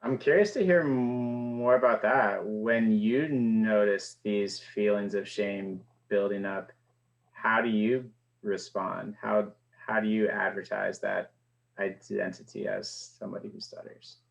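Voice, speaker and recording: A man interviewing a guest, asking questions slowly and hesitantly. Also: fairly low pitch, steady tone, creaky. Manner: slow, measured, hesitant. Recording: dull lo-fi sound.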